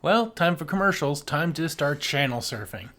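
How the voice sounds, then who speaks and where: A man's voice: fairly high pitch, expressive, moderate pace, a bit bright. Wry man co-hosting a casual podcast. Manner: wry, joking. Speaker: podcast co-host. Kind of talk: casual film discussion chat.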